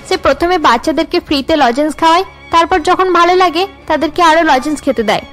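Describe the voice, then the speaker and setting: A woman's voice: high-pitched, fast talker, fairly bright tone, faint music behind. A woman voicing a worried young college student in a cartoon drama, earnest and downcast. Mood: earnest, concerned.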